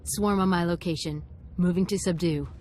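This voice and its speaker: An actress playing a bitter, vengeful fighter in a video game, hard and accusing. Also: female voice, fairly low pitch, fairly even intonation, moderate pace, darkish tone, a little hiss or hum, lightly acted. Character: bitter, vengeful female fighter confronting her target.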